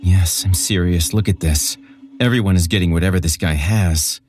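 A man's voice: low-pitched, moderate pace, a little mellow, soft background music, voice pushed up, close to an everyday voice. A man voicing a serious, urgent female paramedic in a horror story. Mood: alarmed, firm.

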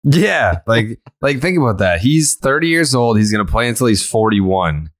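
A man's voice: mid-range voice, very animated, moderate pace, no echo, clean studio sound. A man hosting a casual podcast chat, joking around and laughing often. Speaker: podcast host.

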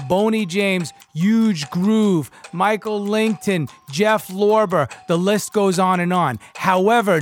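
Male podcast host, fairly high voice, narrated intros and casual interviewing, light music underneath.

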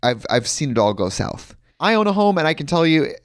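A man's voice: fairly high pitch, sing-song, a little fast, clean tone, no room sound, clean studio sound. A man giving a conversational, personal sponsor read. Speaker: ad reader.

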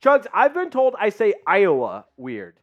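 A man's voice: high-pitched, wildly swooping pitch, unhurried, fairly bright tone, lo-fi sound. A man hosting a live sports chat show, upbeat and hyped, reading out viewer comments. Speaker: podcast host.

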